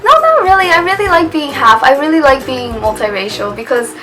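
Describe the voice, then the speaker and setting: Young woman, fairly high voice, chatty vlog talk, light laughs, music playing behind. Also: expressive, a little fast. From United States. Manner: chatty, laughs often, trails off with "I guess". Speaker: vlogger. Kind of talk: casual chat to camera.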